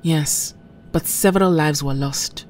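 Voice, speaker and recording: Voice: mid-range voice, measured pace, a little hiss or hum, lightly acted. An actor playing an authoritative, reassuring mutant team leader with an earnest manner. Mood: sober.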